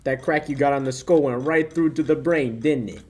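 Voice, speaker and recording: A young actor playing a slick, mocking, menacing racketeer in a 1940s-style radio play. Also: male voice, medium pitch, moderate pace, a little mellow, close to an everyday voice. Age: young adult. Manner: sneering, wisecracking. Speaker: high school actor.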